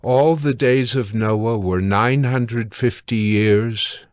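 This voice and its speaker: A man narrating scripture from a script in a measured, sober manner. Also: medium pitch, dry recording, studio quality.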